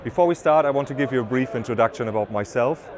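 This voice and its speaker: A man's voice: medium pitch, a crowd in the background. German man giving a stand presentation. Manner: slightly halting non-native phrasing with ums and restarts. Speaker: business development manager. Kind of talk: trade-fair stand presentation. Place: exhibition stand at an offshore wind event.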